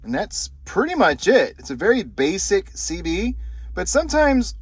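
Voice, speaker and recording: A man's voice: sits high, sing-song, moderate pace, slightly rough. A man hosting a product review video, talking to viewers in short, simple explanatory phrases. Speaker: host of a gear review channel.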